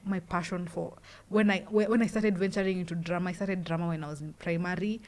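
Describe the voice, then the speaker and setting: A Kenyan woman hosting a podcast, with a loud voice and a loud laugh, talking casually and rambling with many restarts. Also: fairly low pitch, fairly even intonation, quick pace. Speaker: podcast host. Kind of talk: casual interview chat.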